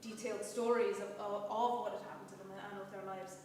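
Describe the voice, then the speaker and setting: A woman's voice: medium pitch, level tone, brisk, a little mellow. A woman from Scotland with a Scottish accent, speaking on a discussion panel as a cast member of a stage production, earnest and heartfelt, often repeating words as she reaches for them. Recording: roomy hall, distant mic, faint noise.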